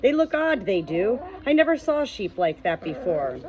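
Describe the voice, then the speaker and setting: A woman's voice: medium pitch, big pitch swings, moderate pace, warm tone, lo-fi sound, slight background noise, lightly acted. A woman voicing a nervous, eager-to-please male pub landlord in an audiobook, chattering in colloquial speech. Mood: nervous, critical. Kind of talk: audiobook character dialogue.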